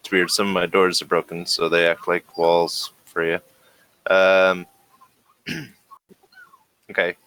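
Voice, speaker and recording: A man's voice: deep voice, level tone, slow pace, long pauses, a bit bright, dry recording, rough recording. A man acting as game master, talking casually through an online tabletop role-playing session.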